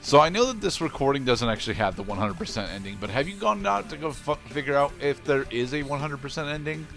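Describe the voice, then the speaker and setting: A man co-commentating on a game in a measured, hesitant manner, often pausing to find his words. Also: medium pitch, expressive, vocal fry, fairly bright tone, faint music behind. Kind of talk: casual game commentary chat.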